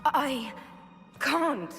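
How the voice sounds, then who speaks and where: A woman's voice: high-pitched, lively intonation, very slow, pushed and bright, heavily acted. An actress playing a bewildered, earnest young female elf mage held prisoner in a fantasy game, hesitant and questioning, in a reverberant space. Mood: defensive, alarmed. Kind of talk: dramatic fantasy game voice acting. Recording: long hall reverb, faint music behind.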